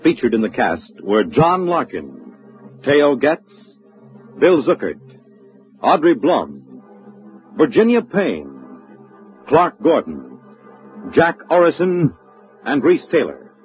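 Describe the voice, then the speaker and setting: A man's voice: medium pitch, huge pitch swings, slow pace, pauses often. A man announcing scripted credits in a formal, declamatory 1950s radio style. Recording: muffled vintage radio broadcast. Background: faint music.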